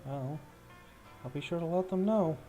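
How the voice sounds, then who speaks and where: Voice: mid-range voice, normal speed, mellow, highs cut off, soft background music, lightly acted. A game master voicing a little halfling man, a hesitant and formal messenger in a tabletop game. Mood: hesitant, apologetic.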